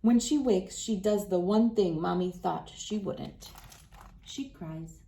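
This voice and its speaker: A woman hosting a read-aloud, narrating for children with expressive, deliberate phrasing. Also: medium pitch, creaky, poor audio.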